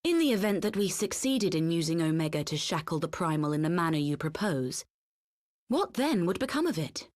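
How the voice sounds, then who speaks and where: A woman's voice: on the low side, very animated, brisk, darkish tone. A woman playing a grave, composed counsellor in a video game, speaking formally and earnestly. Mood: probing, polite. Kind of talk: video game cutscene acting.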